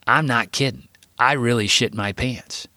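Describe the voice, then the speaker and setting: A man's voice: mid-range voice, a little slow, dry recording, voice pushed up. A man voicing an injured teenage boy who patiently reassures his little brother. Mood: reassuring. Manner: gentle, reassuring.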